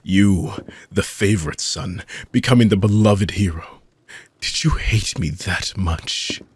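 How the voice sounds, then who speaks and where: A man playing an ancient, weary dark mage villain in a fantasy audio roleplay, husky and gravelly, speaking theatrically with long dramatic pauses. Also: sits low, dry recording, full character voice. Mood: anguished, accusing. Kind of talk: fantasy audio roleplay monologue addressed to listener.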